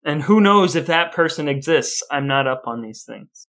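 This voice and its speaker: A man's voice: a little high, expressive, normal speed, a bit bright. A man hosting a podcast with casual banter and a playful, mock-serious way of talking. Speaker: podcast host.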